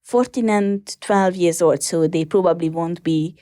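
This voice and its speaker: A woman's voice: lowish voice, measured pace, warm tone, dry recording. Woman, Hungarian accent, hesitant, interview guest, dry close mic. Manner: hesitant, many ums and restarts. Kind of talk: casual interview answers.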